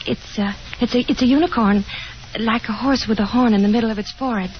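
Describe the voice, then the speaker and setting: An actress playing a shy, fragile young daughter, hesitant and meek, in 1950s radio drama style. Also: female voice, fairly high pitch, steady tone, quick pace, natural voice. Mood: shy, tender. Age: young adult. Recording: dull, noisy 1950s radio broadcast.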